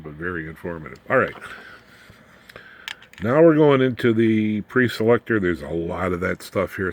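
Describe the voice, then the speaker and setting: Very deep-voiced man giving folksy technical demo. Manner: folksy, rambling, plain-spoken. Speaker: electronics hobbyist presenter. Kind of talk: informal hands-on technical demonstration. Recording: clear background noise, room ambience.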